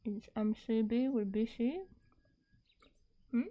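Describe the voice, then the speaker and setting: A woman's voice: fairly high pitch, steady tone, slow pace, mellow. A woman chatting casually as she hosts a livestream, talking to her viewers.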